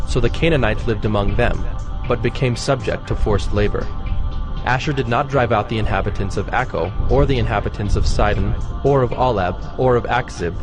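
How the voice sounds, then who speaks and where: A man narrating scripture from a script in a measured, formal manner. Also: lowish voice. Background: music.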